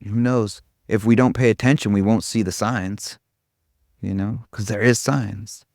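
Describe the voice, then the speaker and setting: A man's voice: on the low side, fairly even intonation, a little slow, darkish tone, no echo, studio quality. A man giving an informal spiritual talk to a group as a meditation teacher, rambling and irreverent, with casual, self-deprecating humor. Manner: irreverent, casual, frequent swearing.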